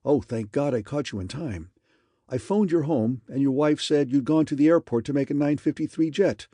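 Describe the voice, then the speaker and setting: A man's voice: moderate pace, dry recording, studio quality, close to an everyday voice. A man reading an audiobook, voicing a frantic male colleague calling with urgent news. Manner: excited, breathless, high-pitched urgency.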